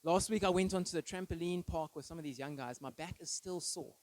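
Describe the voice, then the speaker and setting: A man's voice: a little high, fairly quick, darkish tone, dry recording. A thirty-year-old man giving an informal, conversational sermon with personal stories, often checking in with listeners through short tag questions. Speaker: preacher.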